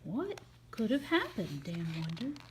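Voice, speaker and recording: A woman storyteller with a high voice reads a children's picture book. Her delivery is highly animated and dramatic, rising and falling freely as she narrates. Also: unhurried, noisy background. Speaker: read-aloud storyteller.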